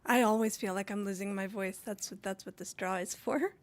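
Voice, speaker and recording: A woman's voice: mid-range voice, brisk. A woman co-hosting a music show, a singer who chats playfully and teases the host. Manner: playful, teasing, animated. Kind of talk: casual chat with host.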